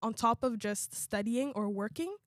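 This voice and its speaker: A young woman in her twenties, born in Uganda, chats casually about her life as a student. Her voice sits a little high, and she talks in a loose, relaxed way with many "um"s and repeated phrases. The sound is dry and close. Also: moderate pace, no echo. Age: 20s. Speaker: international student, host. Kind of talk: casual conversation.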